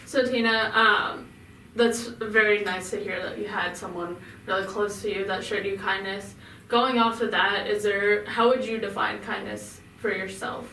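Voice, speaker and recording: Chatty woman podcast host, mid-pitched, in an echoey room, away from the mic. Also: fairly even intonation, a little slow, bright, forceful tone, distant mic, a little hiss or hum. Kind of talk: podcast interviewing.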